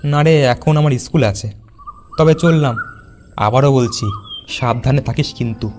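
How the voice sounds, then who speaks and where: A man playing a worried, cautious young village schoolteacher in an animated horror story. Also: mid-range voice, unhurried, noisy background, lightly acted. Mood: uneasy, subdued. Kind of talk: acted cartoon dialogue.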